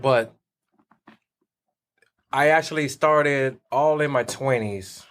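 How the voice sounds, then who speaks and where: Relaxed man in his thirties from Puerto Rico. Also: mid-range voice, takes it slowly. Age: 30s. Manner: relaxed, hesitant with fillers. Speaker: podcast guest. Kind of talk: casual interview answers.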